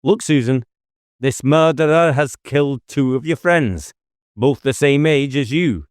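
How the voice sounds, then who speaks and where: A man's voice: medium pitch, unhurried, clear, resonant tone, dry recording, clean studio sound, voice pushed up, close to an everyday voice. A man voicing an intense, driven police officer in an audiobook, with an urgent, insistent manner. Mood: earnest, persuasive.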